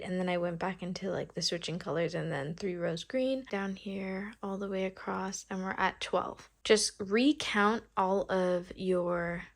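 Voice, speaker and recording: A young woman with a cold, chatting casually through a knitting tutorial and thinking aloud as she counts stitches. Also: medium pitch. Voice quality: slightly congested from a cold. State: has a cold. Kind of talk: casual step-by-step craft tutorial.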